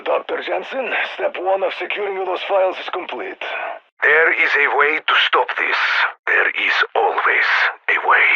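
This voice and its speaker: A battle-hardened Russian commander issues clipped, formal mission orders over a muffled radio. His voice is fairly high for a man, with a heavy gravelly rasp and a Russian accent. He sounds stern and commanding, like a military handler in a video game. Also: exaggerated voice. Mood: grave, urgent.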